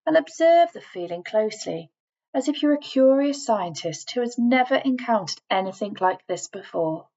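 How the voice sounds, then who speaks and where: A woman reading a scripted guided relaxation in a measured, instructing manner, leaving deliberate pauses between phrases. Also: fairly high pitch, expressive, darkish tone, dull audio. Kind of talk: scripted guided meditation voiceover.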